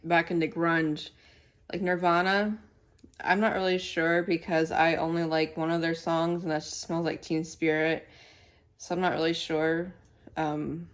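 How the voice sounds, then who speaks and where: A woman with a stuffy, nasal voice, chatting casually to the camera at home in a rambling, halting way. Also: sits low. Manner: rambling and halting, many fillers. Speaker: channel host. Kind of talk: casual to-camera vlog chat. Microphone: camera mic.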